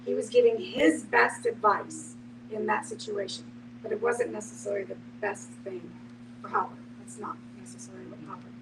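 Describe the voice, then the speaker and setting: A woman giving reflective, affirming commentary in an informal group discussion, explaining her points in a measured way. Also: medium pitch, fairly even intonation. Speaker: discussion participant. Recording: echoey, distant mic, noisy hum.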